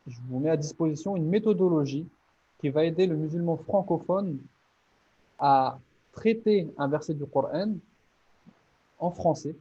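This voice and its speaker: A man with a fairly high voice presents his course in an earnest, encouraging way, laying out each step and often restarting a phrase mid-thought. The recording sounds a little dull and muffled. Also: mellow, husky. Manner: earnest, motivating, explains step by step with many restarts. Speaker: Islamic teacher presenting his online course.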